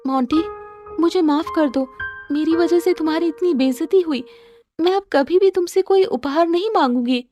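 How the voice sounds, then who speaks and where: A woman voicing a sweet, gentle young girlfriend in a cartoon story, speaking politely. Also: very high-pitched, moderate pace, voice pushed up. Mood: apologetic. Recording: music under the voice.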